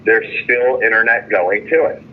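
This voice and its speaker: A man's voice: lowish voice, measured pace, slight background noise. Frustrated man on a tinny phone line. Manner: frustrated, complaining. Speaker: jailed caller. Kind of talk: phone call venting about jail and legal case. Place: phone call from jail.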